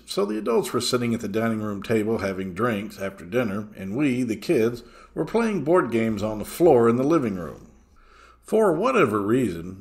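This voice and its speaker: Man narrating with lively, varied pitch. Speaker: narrator reading a listener's true ghost story. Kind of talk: scripted first-person storytelling narration.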